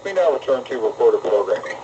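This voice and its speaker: A man's voice: medium pitch, very animated, fast talker, a little mellow, a little hiss or hum. A man reading a scripted public service announcement as a weather radio announcer, formal and impersonal. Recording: muffled distant weather radio broadcast, music behind.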